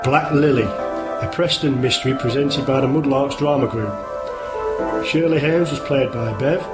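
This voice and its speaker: A man's voice: mid-range voice, normal speed. A man reading scripted introductions and credits as the announcer of a radio play. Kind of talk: scripted narration. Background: loud music.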